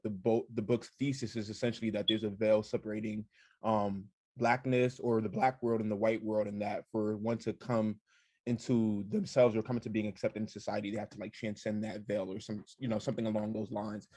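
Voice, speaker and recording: A young man, a program alumnus, reflecting thoughtfully on a text with deliberate, hesitant pacing. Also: fairly low pitch, darkish tone, dry recording. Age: young adult. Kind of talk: reflective personal testimony.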